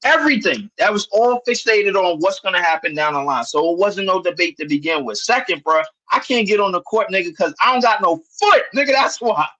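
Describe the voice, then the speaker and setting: Brief: male co-host, animated and argumentative, sharp higher voice, street slang. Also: fairly quick, few pauses, highs cut off. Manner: animated, argumentative, heavy slang, repeats "bro". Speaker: podcast co-host. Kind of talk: heated casual sports banter.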